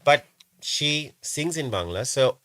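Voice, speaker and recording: A man's voice: fairly high pitch, lively intonation, slow, bright, forceful tone, no echo. A man hosting a live call-in show, talking in a chatty, rambling way with frequent restarts. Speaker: host. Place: London show set-up.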